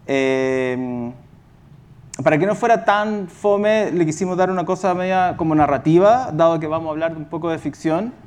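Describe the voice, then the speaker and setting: Chilean guy doing a casual conference talk, highish voice, kinda halting, restarts his phrases. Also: a little mellow, smooth. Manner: halting, self-correcting. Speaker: radio company executive director, presenter. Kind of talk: informal conference presentation.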